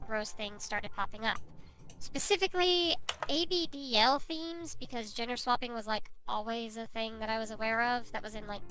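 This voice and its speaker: A woman's voice: on the high side, moderate pace, pushed and bright, rough recording. A woman giving a rambling, self-justifying confessional monologue.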